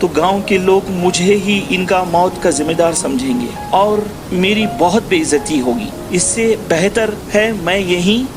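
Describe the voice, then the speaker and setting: A man's voice: sits high, a little slow, few pauses, over music. A man playing a frightened, pious villager in a children's cartoon story, with a worried, earnest way of talking. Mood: lamenting.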